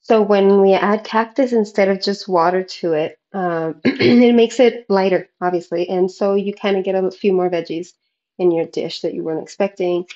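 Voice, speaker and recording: A woman's voice: medium pitch, steady tone, moderate pace, dark tone, highs cut off. A woman in her thirties casually explaining a recipe in an informal way, recorded in a home kitchen. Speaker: home cooking vlogger.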